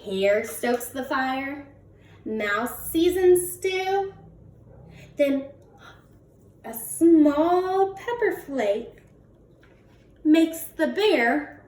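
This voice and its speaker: A woman reading a picture book aloud to young children in an expressive way, drawing out words and putting questions to the listeners. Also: sits high, very slow, long pauses. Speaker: children's read-aloud host. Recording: roomy echo, distant from the mic.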